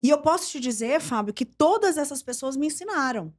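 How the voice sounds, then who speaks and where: A woman's voice: high-pitched, brisk. A middle-aged woman from Brazil, a journalist and TV presenter, telling career stories in an interview and acting out the dialogue. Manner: lively storyteller, acts out quoted dialogue. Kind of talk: storytelling interview chat.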